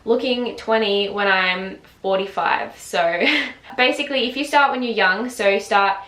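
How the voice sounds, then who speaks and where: A woman's voice: mid-range voice, unhurried, bright, forceful tone. A woman of twenty chatting casually to camera about her routine at home, using lots of filler words. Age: 20s. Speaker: beauty vlogger. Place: home, just woken up. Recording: roomy echo.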